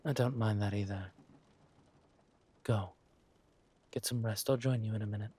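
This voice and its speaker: A man playing a suave, ruthless villain lord in an audio drama, mock-polite and menacing, with a husky voice and drawn-out delivery. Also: on the low side, fairly even intonation, darkish tone. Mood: tender, assured.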